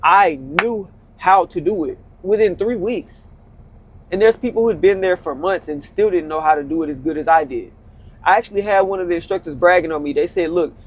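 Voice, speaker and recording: Young man in his twenties, slangy motivational vlog talk, slightly raised pitch, recorded in a truck cab. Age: 20s. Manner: loose, conversational, slangy, repeats himself. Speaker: vlogger, truck driver.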